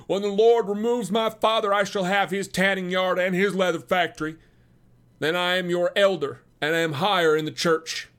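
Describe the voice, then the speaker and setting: A pompous young zealot suitor, voiced by a male reader for a storybook. He talks in a formal, sanctimonious manner with his pitch pushed up, boastful and quick to take offence. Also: fairly even intonation, smooth, close to an everyday voice. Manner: formal, sanctimonious, self-important.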